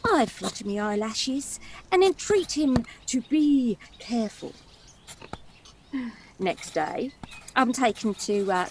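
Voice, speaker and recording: A high, swooping, theatrical damsel. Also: female voice, a little hiss or hum, heavily acted. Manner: dramatic, gossipy, confiding. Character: cheeky, theatrical young woman, a starry-eyed would-be damsel. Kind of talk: stage play storytelling monologue.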